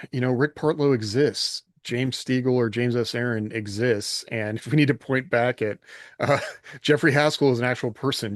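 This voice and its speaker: Male podcast co-host, casual chat, medium-pitched voice, dry room-free sound. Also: no echo. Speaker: podcast co-host and fiction writer.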